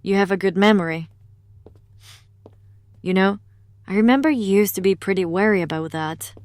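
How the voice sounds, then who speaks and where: An actress playing a guarded demonologist in an audio drama, in an understated conversational way. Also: female voice, mid-range voice, normal speed, a little mellow, clean tone, no echo, lightly acted. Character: adult female demonologist, guarded and casual.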